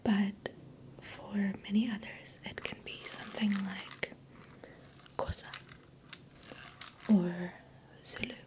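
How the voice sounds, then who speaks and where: A South African woman whose first language is Afrikaans, speaking an ASMR voiceover in a soft, gentle, unvoiced whisper into a close mic that moves from ear to ear. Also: mid-range voice, steady tone, takes it slowly, long pauses, poor audio. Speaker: ASMR creator. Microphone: close sensitive mic, sounds moving ear to ear.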